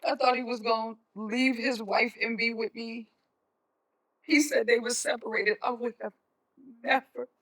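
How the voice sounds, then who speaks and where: A woman playing a worried, guilt-ridden mother in a stage play, pleading and halting. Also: on the high side, fairly even intonation, a bit bright, rough recording. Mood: regretful, distraught.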